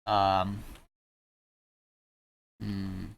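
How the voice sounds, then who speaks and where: A man chatting casually in an online roleplay game, in a halting, rambling and informal way. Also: sits low, monotone, gravelly, slight background noise. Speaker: streamer playing a gang leader in online roleplay.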